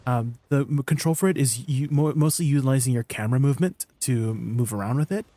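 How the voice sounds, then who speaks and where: Relaxed Canadian-raised male streamer, first language Tagalog, mid-pitched, chatty, laughs often. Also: brisk, no echo. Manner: laughs often, relaxed, lots of ums while explaining. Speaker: game streamer. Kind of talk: casual live-stream chat and gameplay tips.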